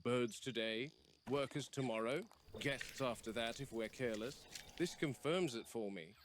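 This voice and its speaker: A man voicing a grave, wary game warden in an analog horror log, measured and formal, on a field-style recording. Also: medium pitch, background noise, close to an everyday voice. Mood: grim, warning. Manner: measured, serious, formal delivery. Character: grave, wary male game warden logging dinosaur observations. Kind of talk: scripted analog horror voice acting.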